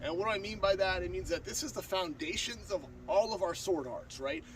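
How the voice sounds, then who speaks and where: A man in his thirties with a stuffed-up nose, teaching sword basics in a backyard, talking fast with plenty of fillers and restarts. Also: high voice. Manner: talks fast with frequent fillers and restarts, plain and earnest. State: stuffed up from a cold. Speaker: sword-fighting instructor. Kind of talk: casual outdoor tutorial. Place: backyard lawn outdoors. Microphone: far camera on a tripod. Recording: distant mic with outdoor noise.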